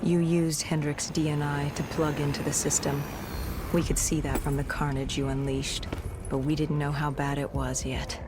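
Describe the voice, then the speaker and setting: An actress playing a grave, restrained soldier recounting a catastrophe in scripted video game narration. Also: female voice, low-pitched, level tone, moderate pace, lightly acted. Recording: loud music and gunfire behind.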